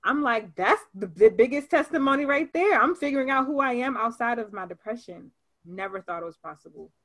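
A woman in her twenties, a podcast guest, telling her story in a lively, animated way, peppered with "like". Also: on the high side, brisk. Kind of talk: casual chat.

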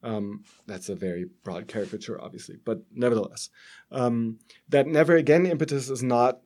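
A middle-aged man from Germany with a German accent, speaking as an academic guest in an interview, haltingly, often checking with a questioning tag. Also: mid-range voice, a little mellow. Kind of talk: interview, explanatory academic discussion.

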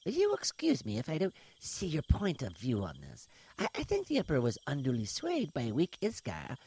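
A man's voice: fairly high pitch, huge pitch swings, normal speed, darkish tone, breathy edge, voice pushed up, exaggerated voice. A man voicing a proud, scornful, hot-tempered reptilian alien lord in an audiobook, speaking with haughty, indignant emphasis. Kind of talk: audiobook character dialogue.

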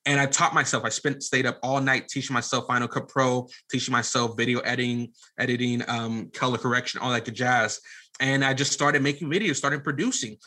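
A man's voice: mid-range voice, fairly even intonation, a little fast, pushed and bright. A man speaking earnestly and informally as a podcast guest, giving advice in casual interview talk. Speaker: podcast guest, media company CEO.

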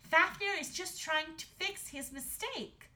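Woman voicing an earnest, high-voiced young princess. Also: slow pace, bright, forceful tone, exaggerated voice. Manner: earnest, insistent.

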